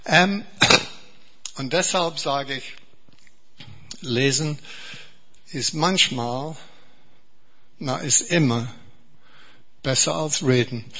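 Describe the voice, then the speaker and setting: An Englishman preaching a sermon in German, a bright voice with a breathy edge. He talks slowly and earnestly, repeating words and restarting phrases. The recording sounds a little dull. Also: a little high. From England.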